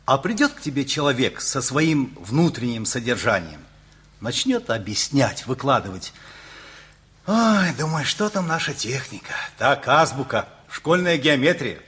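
Middle-aged man, husky voice, lively swooping intonation, good-natured harried official, folksy exclamations, radio play. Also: medium pitch, measured pace, exaggerated voice. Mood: reflective. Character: harried, good-natured factory party official nearing fifty, Tikhon.

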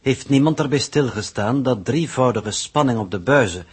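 A man's voice: medium pitch, animated, normal speed, breathy edge. A man playing a cold, exacting spaceship coordinator in a 1960s radio drama, speaking in formal, precise officialese. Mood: cold reproof. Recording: old 1960s radio recording.

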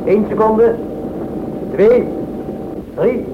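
High-voiced dutiful flight engineer, tinny recording. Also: male voice, drawn-out words. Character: dutiful flight engineer answering his captain. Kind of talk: radio play acting. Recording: tinny, noisy old radio recording.

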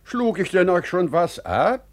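An actor playing a patient, courteous older merchant and father in a 1950s German radio drama, with measured, deliberate stage diction. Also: sits high, very animated. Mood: wary, gentle.

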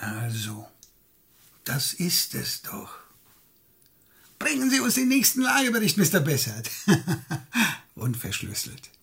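A scornful secret-police interrogator in a radio play. His voice is high, bright and gravelly, swinging widely as he mocks and gives orders. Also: huge pitch swings, takes it slowly, long pauses, slight background noise, heavily acted. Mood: scornful command. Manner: mocking, commanding. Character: impatient, scornful KGB interrogator running an informant. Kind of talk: German radio drama acting.

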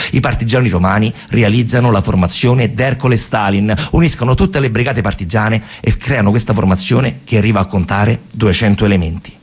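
A man performing dramatic theatrical storytelling, in short emphatic phrases with repetitions and deliberate pauses. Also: fairly low pitch, breathy edge. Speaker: stage storyteller, actor performing a narrative monologue.

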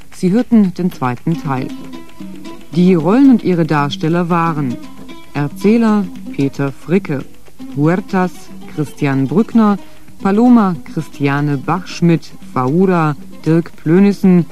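A man's voice: on the high side, darkish tone. A man formally reading out scripted closing credits as a radio announcer.

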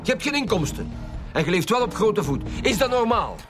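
Bossy sarcastic flemish police chief, highish voice jumping around, interrogation scene in radio play. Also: male voice, sing-song, fairly quick, music in the background. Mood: stern, impatient. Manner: sardonic, impatient, mock-sweet. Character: sarcastic, domineering male police commissioner interrogating suspects. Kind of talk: radio drama acting.

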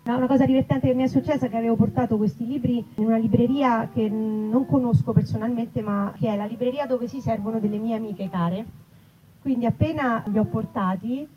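A woman, a writer and actress, chatting casually and animatedly in an interview, often pausing to rephrase. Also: fairly high pitch, steady tone, dark tone. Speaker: writer and actress interviewed about her book. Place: live festival event. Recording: muffled recording with clear background noise.

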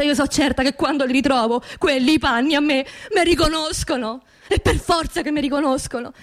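Actress as a grieving Roman widow, higher-pitched voice. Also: female voice, close to an everyday voice. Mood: fervent, pleading. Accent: central Italian, Roman. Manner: intimate, halting, repeats phrases, swelling from hushed to anguished. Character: grieving working-class widow of a construction labourer, haunted, indignant. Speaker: actress performing a monologue. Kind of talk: radio theatre dramatic monologue.

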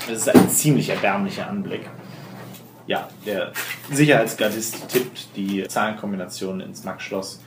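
A man's voice: on the low side, moderate pace, very noisy. A man running a tabletop role-playing game, narrating scenes to his players with a wry, playfully dramatic touch. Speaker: game master. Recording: roomy echo, music underneath.

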